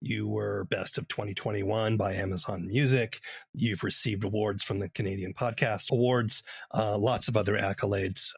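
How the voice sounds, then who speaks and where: A man's voice: lowish voice, steady tone, normal speed, creaky, breathy edge, dry recording, studio quality. A man hosting an interview, putting questions to his guests in a conversational way.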